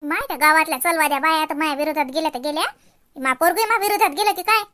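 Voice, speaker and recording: A woman's voice: high-pitched, fast, noisy background, lightly acted. A woman playing a quarrelsome, boastful mother-in-law in a cartoon comedy, scolding in a Varhadi Marathi accent from Vidarbha. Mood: indignant, threatening. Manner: scolding, ranting with rhetorical questions. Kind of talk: exaggerated cartoon comedy acting.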